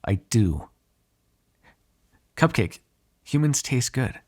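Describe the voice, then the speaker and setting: A man playing an ageless, cynical male vampire in an audio roleplay, with a gravelly, husky voice and a sardonic, exasperated manner. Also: mid-range voice, animated, slow, darkish tone. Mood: sardonic, menacing. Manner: sardonic, drawling, swearing, exasperated asides. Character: ageless male vampire, cynical, menacing, grudgingly fond captive. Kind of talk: ASMR roleplay, one-sided dramatic dialogue.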